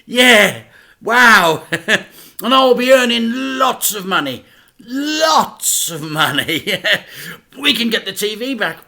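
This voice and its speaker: A man voicing an excitable, working-class middle-aged dad who laughs and talks loudly. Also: sits high, takes it slowly, pitched above the performer's own voice, full character voice. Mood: boisterous. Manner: laughing, loud and boisterous.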